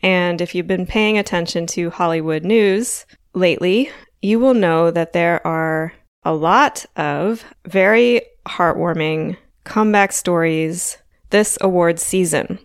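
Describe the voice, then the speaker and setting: A woman in her forties hosting her own podcast in a chatty, casual way, a little hoarse from a frog in her throat and laughing lightly between thoughts. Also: lowish voice, slow, no room sound, studio quality. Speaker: podcast host. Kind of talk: casual solo podcast talk.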